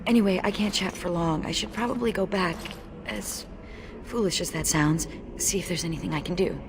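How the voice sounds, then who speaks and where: An actress plays a battle-hardened dwarf woman from a fantasy video game. Her voice sits a little low for a woman, with a wry, matter-of-fact delivery that understates every hardship. Loud ambient game noise runs behind her. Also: brisk, natural voice. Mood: grim, urgent. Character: brave wry female dwarf warrior, sole survivor of her legion.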